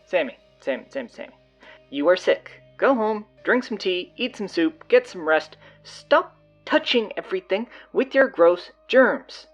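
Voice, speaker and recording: An actress playing a bossy, sardonic teenage high school girl with a blunt, exasperated manner in an audio drama. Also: very animated, measured pace, faint music behind.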